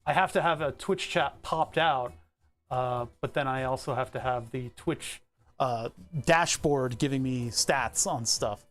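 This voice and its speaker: A man's voice: medium pitch, unhurried, a bit bright. A man chatting casually on a live stream about his setup. He rambles easily, chuckles often, and talks into a lapel mic in his workshop. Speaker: livestreamer.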